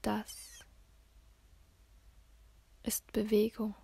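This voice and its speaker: A woman reads a poem in a hushed, breathy voice with a gravelly fry at its edges. Her delivery is extremely slow, with long silences between short phrases. She stays close to a whisper throughout. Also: mid-range voice, completely flat delivery. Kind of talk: spoken-word poetry reading, slow and sparse.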